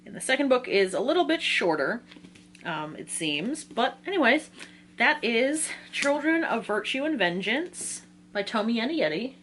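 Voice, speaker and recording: A woman's voice: on the high side, sing-song, moderate pace, fairly bright tone, a little hiss or hum. A woman talking casually in an informal, self-deprecating way, like a book vlogger running through a reading list.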